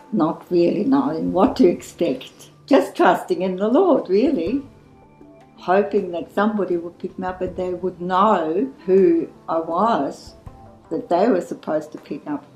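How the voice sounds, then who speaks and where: Slow, mellow-voiced older woman. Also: on the low side, reverberant, faint music behind, low-quality recording. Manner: hesitant, with fillers. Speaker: church volunteer. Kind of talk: interview testimony.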